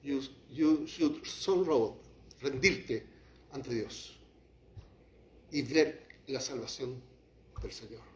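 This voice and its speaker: A man preaching a sermon as pastor, shifting from quiet, low passages to raised, heated lines. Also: drawn-out words, pauses often. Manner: impassioned preaching, drops to quiet, then rises to acted outbursts. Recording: echoey hall, muffled lo-fi sound.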